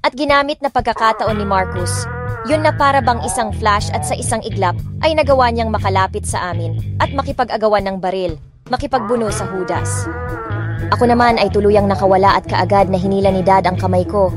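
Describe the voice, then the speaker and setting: A woman's voice: mid-range voice, a little fast, lightly acted. A young woman playing a lovestruck heiress, narrating her own romance story in an emotive, dramatic way. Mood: tearful, tense. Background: music.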